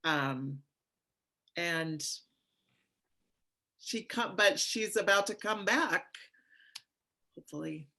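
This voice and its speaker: A woman's voice: on the low side, lively intonation, takes it slowly, long pauses, bright, forceful tone. A woman, an actress, telling a story casually on a panel.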